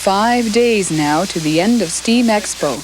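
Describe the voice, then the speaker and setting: A woman narrating a documentary in a calm, measured, scripted way. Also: medium pitch, noisy background. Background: music.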